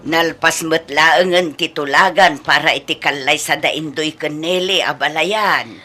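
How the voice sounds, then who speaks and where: A woman's voice: sits low, measured pace, bright, forceful tone, soft background music, exaggerated voice. A woman acting a teasing, approving older mother in an Ilocano radio comedy drama, speaking with an Ilocano accent. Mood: pleased.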